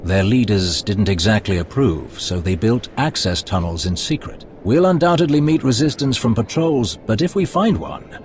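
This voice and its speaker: A man's voice: lowish voice, animated, normal speed, few pauses, a little mellow, slight background noise, close to an everyday voice. An actor playing a thoughtful, loyal male Imperial lord in a game cutscene. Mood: measured.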